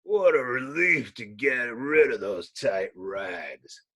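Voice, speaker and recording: Man putting on a gruff, bitter giant monster hero. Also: medium pitch, expressive, slow pace, bright, forceful tone, heavily acted. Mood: impatient.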